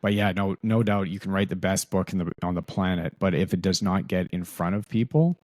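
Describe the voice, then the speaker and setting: A man's voice: low-pitched, fairly quick, a little mellow, dry recording. A man hosting a podcast, talking in a rambling way and often repeating words as he thinks aloud. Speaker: podcast host. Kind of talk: casual interview talk.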